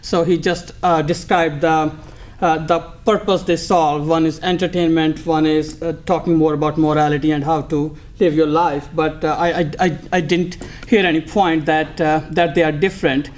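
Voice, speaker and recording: High-voiced man, hesitant debate speaker. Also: fairly even intonation, normal speed. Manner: hesitant, repetitive, searching for words. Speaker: debater, prime minister in a mock debate. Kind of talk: off-the-cuff debate speech.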